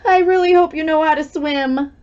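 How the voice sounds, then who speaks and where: A woman voicing an excitable storm gauge that calls out urgent, exaggerated danger warnings in a children's story. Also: high voice, normal speed, highs cut off, voice pushed up, lightly acted. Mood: nervous warning. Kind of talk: children's storybook read-aloud.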